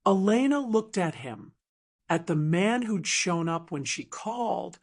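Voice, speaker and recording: Slow-paced woman narrating fiction. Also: on the low side, lively intonation. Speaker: audiobook narrator. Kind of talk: scripted dramatic fiction narration.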